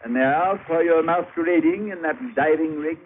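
An actor playing a prim, self-righteous missionary in a 1950s radio drama, speaking in a formal, lecturing manner. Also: male voice, fairly high pitch, a little fast, narrow, tinny audio, lightly acted. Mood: stern, indignant. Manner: formal, proper, indignant, lecturing. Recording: thin, faintly noisy vintage radio broadcast.

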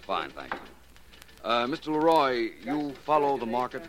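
An actor playing a suave, politely probing private detective in a 1940s radio drama. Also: male voice, medium pitch, big pitch swings, normal speed, a crowd in the background, natural voice. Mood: coolly probing. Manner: polite, formal, pointedly questioning. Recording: noisy, clicking 1940s radio recording.

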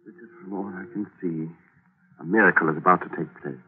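An actor playing an obsessed scientist speaking in an earnest, intense way in a 1940s radio drama. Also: male voice, low voice, fairly quick, husky. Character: obsessed middle-aged male scientist. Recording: tinny old radio broadcast.

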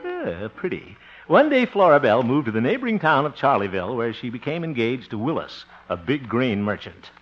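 Jittery, good-natured fellow played by a male actor, folksy and hesitant, very animated pitch, old-time radio comedy, muffled vintage recording. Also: fairly quick, over music, lightly acted. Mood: jovial, uneasy storytelling. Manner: nervous, hesitant, chuckling. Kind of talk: 1950s radio comedy acting.

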